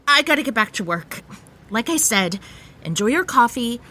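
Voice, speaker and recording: An actress playing a brooding young punk musician in an audio drama, curt, sardonic and wary. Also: female voice, fairly high pitch, lively intonation, normal speed, pushed and bright. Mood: guarded, wary. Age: young adult.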